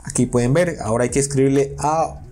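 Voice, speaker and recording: A man's voice: medium pitch, steady tone, fairly quick, warm tone, faint music behind. A man narrates a screen recording, earnestly warning viewers and reading messages aloud with indignation. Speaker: YouTuber warning about an investment scam. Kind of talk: casual screen-recording commentary. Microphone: voice-over on a screen recording.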